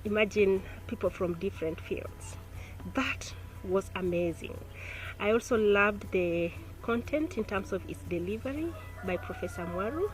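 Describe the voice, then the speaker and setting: A woman's voice: mid-range voice, expressive, measured pace, soft background music, rough recording. Earnest woman giving a testimonial. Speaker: course participant.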